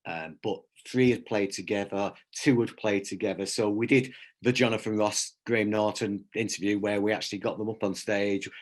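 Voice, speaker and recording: A man speaking conversationally as a workshop presenter, explaining through anecdotes and little examples of dialogue. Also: fairly low pitch. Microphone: video call.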